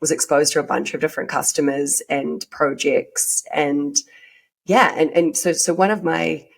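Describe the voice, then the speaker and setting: A woman's voice: sits low, steady tone, moderate pace, vocal fry, breathy edge. A woman from New Zealand with a New Zealand accent, talking conversationally as an interview guest in an informal, hedging way. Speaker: podcast guest.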